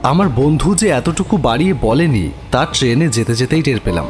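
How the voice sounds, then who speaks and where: A man's voice: mid-range voice, expressive, fairly quick, very noisy. A man giving scripted narration of a horror story. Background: music.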